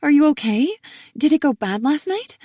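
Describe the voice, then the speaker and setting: A woman voicing a worried, caring adult sister who speaks softly and pleads with earnest concern in an audiobook. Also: high-pitched, very animated, normal speed, no room sound, clean studio sound, voice pushed up. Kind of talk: audiobook character reading.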